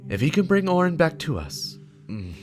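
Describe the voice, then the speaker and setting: Reassuring woman in command, measured, conciliatory male reader in the role, swooping pitch, music behind. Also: sing-song. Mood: earnest. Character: composed, reassuring female warlock commander.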